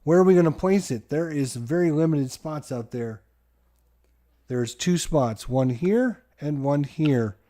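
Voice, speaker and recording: A man hosting a solo board game playthrough, giving excited play-by-play to his viewers like a sports announcer. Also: on the high side. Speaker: board game channel host.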